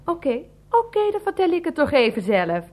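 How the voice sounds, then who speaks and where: An exasperated wife in a radio play, high-pitched and animated. Her delivery is sardonic and biting, full of scorn for her husband. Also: brisk. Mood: indignant, mocking.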